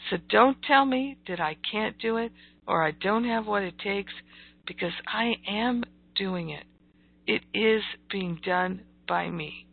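Female motivational spiritual teacher, very unhurried and emphatic, croaky voice, thin telephone-quality sound. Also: mid-range voice. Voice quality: croaky, gravelly. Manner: deliberate, emphatic, repeats phrases. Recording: thin, phone-like audio.

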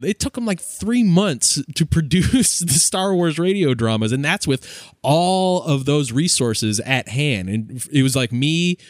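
A man's voice: on the high side, lively intonation, measured pace, dry recording. Dry-sounding man hosting a casual podcast. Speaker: podcast host. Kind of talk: casual conversational podcast talk.

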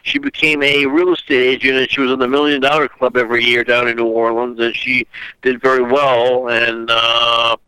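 Older guy from austria on a call, bright crisp voice, rambles with lots of uhs. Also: mid-range voice, no room sound, low-quality recording. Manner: rambling, halting with many fillers. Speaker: guest author. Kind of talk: phone interview. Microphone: internet call audio.

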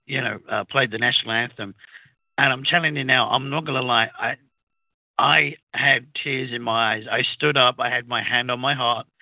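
A man from outside the US, co-hosting a talk radio show, speaking in a halting way with many fillers and restarts. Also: mid-range voice, dry recording. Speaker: radio co-host. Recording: phone-like narrow sound, dry.

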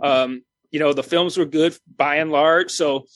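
A man's voice: fairly high pitch, steady tone, a little slow, fairly bright tone, no echo. Rambling man in his thirties. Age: 30s. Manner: rambling, many restarts and fillers. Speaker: podcast co-host. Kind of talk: casual podcast chat.

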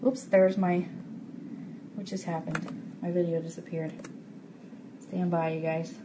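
A woman's voice: lowish voice, flat delivery, a little slow, background noise. A woman co-hosting a casual live online show, chatty and effusive as she speaks to her viewers. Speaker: co-host and founder of a radio show.

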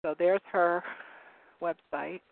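A hoarse man losing his voice, hosting a call-in talk show in a rambling, casual way while reading from web pages. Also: high voice, fairly even intonation, slow, no room sound. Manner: rambling, searching for words with many ums. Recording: narrow phone-like line, dry.

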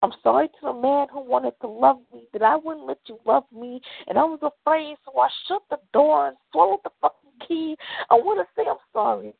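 A woman performs a confessional spoken word poem in a dramatic, deliberate way, with long pauses between phrases. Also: high-pitched, gravelly, no room sound, phone-quality sound.

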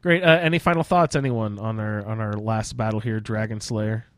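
A man's voice: lowish voice, steady tone, moderate pace, a bit bright, dry recording. A man hosting a casual roundtable discussion about a video game, asking questions and inviting others to weigh in. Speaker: podcast roundtable host.

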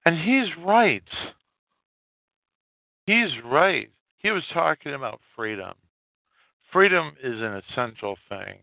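A man hosting a solo podcast, giving unscripted political commentary in a halting way and repeating phrases for emphasis. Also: a little high, big pitch swings, creaky, no echo. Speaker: podcast host. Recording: muffled, tinny phone-like sound.

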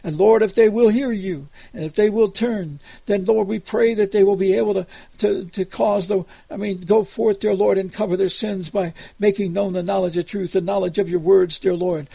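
A man's voice: sits high, steady tone, moderate pace, vocal fry, dark tone, slightly rough, dry recording. An American man praying aloud as a preacher, earnest and pleading, improvising as he goes and repeatedly addressing God. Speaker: preacher leading prayer. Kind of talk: extemporaneous spoken prayer.

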